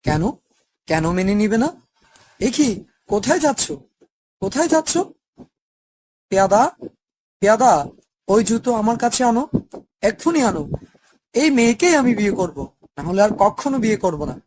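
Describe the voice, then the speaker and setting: A woman voicing a smitten, commanding young prince in a cartoon story, with a husky voice. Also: medium pitch, big pitch swings, moderate pace, pauses often, darkish tone, no echo. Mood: pleading.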